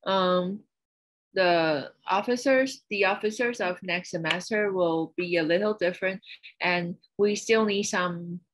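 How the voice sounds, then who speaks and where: A woman's voice: fairly low pitch, fairly bright tone, poor audio. A young woman in her twenties, giggly and loose, making casual announcements as the presider of a club meeting over a video call. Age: 20s.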